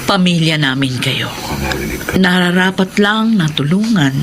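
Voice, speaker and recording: A woman acting a pious, forgiving middle-aged aunt and mayor with a solemn, gentle manner in a Filipino radio drama. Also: on the low side, expressive, unhurried, noisy background. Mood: solemn, consoling.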